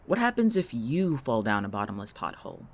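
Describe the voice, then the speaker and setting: Audio drama role for an actor: sardonic, calculating town local, sharp-tongued and irritable, animated in pitch. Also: fairly quick. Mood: probing, cold. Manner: biting, sarcastic, dry-witted.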